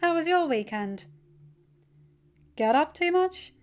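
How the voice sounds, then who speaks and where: A woman voicing an excitable, loyal teenage boy, the hero's best friend, in a gushing, enthusiastic way for an audiobook. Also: sits high, takes it slowly, muffled recording, pitched above the performer's own voice. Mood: friendly.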